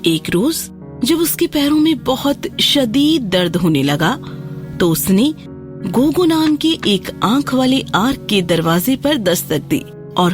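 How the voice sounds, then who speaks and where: A woman narrating a scripted fairy tale for children as a storyteller. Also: fairly high pitch. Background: background music.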